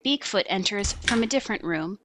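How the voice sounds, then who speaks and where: A woman's voice: medium pitch, lively intonation, a little fast, heavy background noise. A woman narrator reading scripted stage directions for an audio play.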